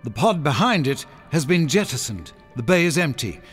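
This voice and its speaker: A man's voice: a little high, sing-song, normal speed, husky, soft background music, heavily acted. An actor playing a scholarly, fussy older professor who dictates his marvelling observations in a sci-fi audio drama. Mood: awed, observing. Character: scholarly older male professor, fascinated, fussy space explorer.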